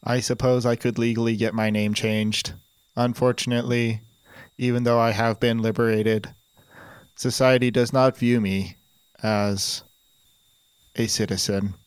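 A man playing a resentful, pompous robot that speaks in a flat monotone with stiff, formal phrasing. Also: medium pitch, unhurried, long pauses, smooth, no echo, natural voice. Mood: deadpan. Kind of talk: improvised comedy sketch.

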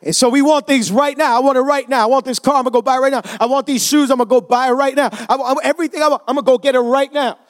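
A man's voice: very high voice, fairly even intonation, very fast, few pauses. A male pastor preaching a sermon in a conversational way, often checking in with the congregation for responses. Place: church service.

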